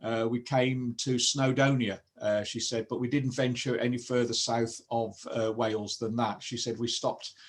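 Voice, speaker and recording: A man's voice: mid-range voice, normal speed, hardly any pauses. A man hosting a casual radio show, reading out listener messages in an easygoing way. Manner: relaxed, reads out listener messages. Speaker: radio host.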